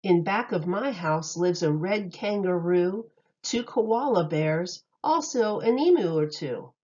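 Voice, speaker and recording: A woman reading a rhyming picture book aloud in an expressive, sing-song way meant for young children. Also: lowish voice, slow pace, highs cut off.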